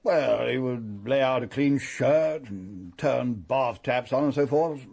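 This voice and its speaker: An actor playing a proper English country-house gentleman in a radio mystery, formal, courteous and slightly hesitant. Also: medium pitch, slow, creaky. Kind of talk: radio mystery drama acting.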